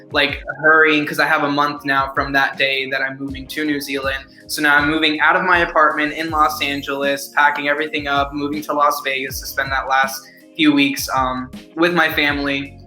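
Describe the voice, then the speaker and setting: A man's voice: fairly high pitch, bright, forceful tone. A young adult man chatting eagerly as a guest actor in a casual interview, with lots of "like" in his speech. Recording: echoey room.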